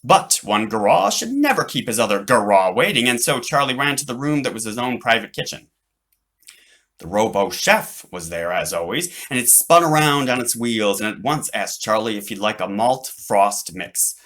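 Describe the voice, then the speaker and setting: A man reading his own children's book aloud as an animated narrator. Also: medium pitch, moderate pace.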